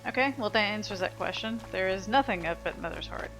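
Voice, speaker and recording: A woman's voice: medium pitch, animated, a little fast, a bit bright, poor audio. Woman casually commentating over game music. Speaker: gaming video host.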